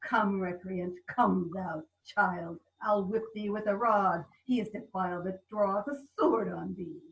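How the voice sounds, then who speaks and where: A woman's voice: medium pitch, steady tone, a little slow, gravelly. Muffled and a little far from the mic, a woman plays a mischievous goblin servant of the fairies. The verse is theatrical and teasing, as in an amateur Shakespeare reading on a video call. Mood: taunting. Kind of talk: Shakespeare table read in verse.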